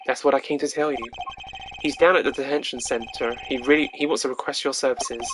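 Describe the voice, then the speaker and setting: A streamer voicing a cool, composed male prosecutor in a video game, measured and formal. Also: mid-range voice, fairly even intonation, noisy background, natural voice. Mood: businesslike. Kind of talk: amateur voice acting of a visual novel game.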